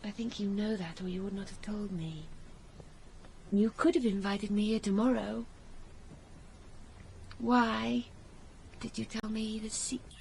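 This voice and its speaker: A woman's voice: mid-range voice, fairly even intonation, a little slow, vocal fry, long pauses, darkish tone, a little hiss or hum, rough recording. An actress playing a passionate young woman in love in a radio drama, intimate and emotional as she speaks and narrates her romance. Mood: tender, earnest. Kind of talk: radio drama acting with first-person narration.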